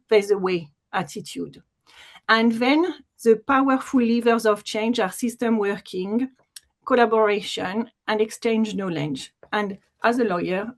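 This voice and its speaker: A woman from France with a French accent, giving an enthusiastic webinar presentation as a deputy commissioner, with frequent fillers, over a video call. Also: on the high side.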